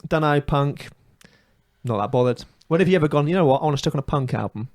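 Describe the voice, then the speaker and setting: A man's voice: on the high side, animated, fast, darkish tone, no echo, clean studio sound. A British man co-hosting a comedy podcast, chatting casually with colloquial, jokey complaints and opinions.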